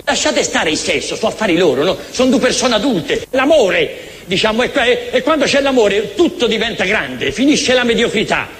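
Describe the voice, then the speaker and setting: A man's voice: extremely high pitch, fairly bright tone. A man giving an impassioned, emphatic public address, using rhetorical questions and repeating words for weight. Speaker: speaker addressing an audience. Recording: reverberant hall, faint noise.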